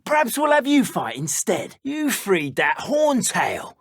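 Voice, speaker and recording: Rough, swooping British dark wizard thug. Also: male voice, high voice, wildly swooping pitch, unhurried, dry recording, clean studio sound, full character voice. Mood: vengeful anger. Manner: taunting, threatening. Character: menacing male dark wizard poacher thug, taunting and ruthless. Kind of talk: video game combat voice lines.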